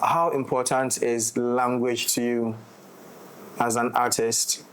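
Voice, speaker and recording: A man with a Ghanaian accent speaks in a casual roundtable style. His pitch is middling and stays quite even as he explains things reflectively, checking in with his listener as he goes. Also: slow, a little hiss or hum, low-quality recording. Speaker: audio drama director and writer in discussion.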